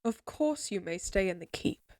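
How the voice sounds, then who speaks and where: A woman's voice: medium pitch, animated, normal speed, darkish tone, no echo, natural voice. A woman acts out an imperious middle-aged lady of a fantasy castle. She speaks formally and with clipped command, in a very dry, close recording. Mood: angry, commanding. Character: stern, imperious noble mother of a lady knight. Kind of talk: scripted fantasy audio roleplay acting.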